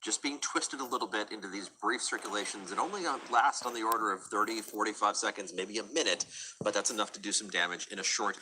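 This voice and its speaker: A man's voice: on the low side, fast talker, noisy background. A man with an American accent giving live severe weather commentary as a TV forecaster.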